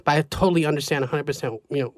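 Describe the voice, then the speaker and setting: Easygoing host guy asking questions, a bit higher voice, dead dry room. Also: very fast, no room sound. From United States (Seattle). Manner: relaxed, laughs easily, asks open questions. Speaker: podcast host. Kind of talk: casual interview chat.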